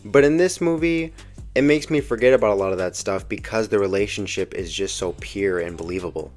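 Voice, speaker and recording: A young man giving casual, slangy movie commentary with the earnest enthusiasm of a fan. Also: on the low side. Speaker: video essay host reviewing a film. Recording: music behind the voice. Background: background music.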